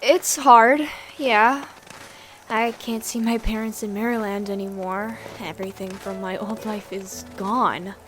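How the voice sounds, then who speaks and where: A woman voicing an upbeat, excitable young female mutant lizard, a chatty idealist, in a comic dub. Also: mid-range voice, measured pace, lightly acted. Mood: wistful.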